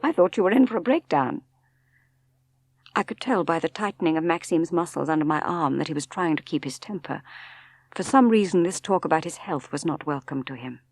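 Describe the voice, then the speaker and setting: A woman's voice: low-pitched, brisk. A woman with an English accent reading a novel aloud as dramatic literary narration. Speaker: audiobook narrator.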